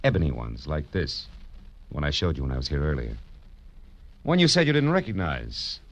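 An American actor playing a tough, sardonic private detective in a vintage noir radio drama, with clipped, punchy delivery. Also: male voice, very deep voice, big pitch swings, normal speed, heavily acted. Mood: cool, probing. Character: hard-boiled wisecracking male private detective narrating his case. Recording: dull vintage radio recording with music.

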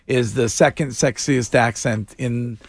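A man's voice: medium pitch, fairly even intonation, unhurried, gravelly, no room sound. A male morning radio host in casual banter, incredulous and mocking, and he laughs often.

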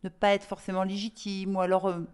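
A woman interviewer asking questions in a halting way, searching for her words. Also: medium pitch, monotone, clean tone.

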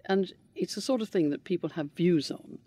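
An older man, answering interview questions in a measured, gentle and reflective way. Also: sits high, breathy edge, no room sound. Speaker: interviewee, widower of a politician.

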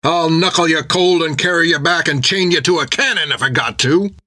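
Man voicing a big hot-tempered sailor, growling and rough, pitched above his own voice. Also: brisk, pushed and bright. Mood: threatening.

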